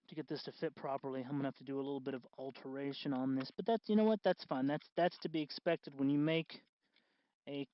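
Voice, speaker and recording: A man hosting a do-it-yourself tutorial in casual, unscripted talk, halting and correcting himself as he goes. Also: mid-range voice, highs cut off. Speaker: host of a DIY costume electronics tutorial.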